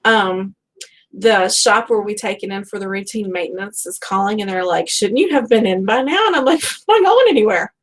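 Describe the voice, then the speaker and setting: A woman's voice: mid-range voice, expressive, fairly quick. Conversational woman host. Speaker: host of a book fan live show. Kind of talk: casual chat.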